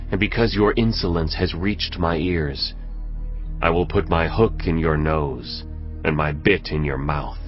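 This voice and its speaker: Commanding ancient prophet, very low voice, solemn oracle, stern, audio drama, faint music behind. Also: male voice, highs cut off. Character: stern, authoritative male prophet proclaiming divine judgement. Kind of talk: dramatised audio Bible acting.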